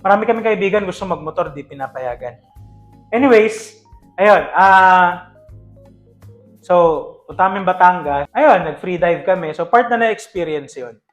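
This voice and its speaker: A man's voice: sits high, lively intonation, normal speed, faint music behind. A man with a Filipino accent telling stories casually as a podcast co-host, mixing in English.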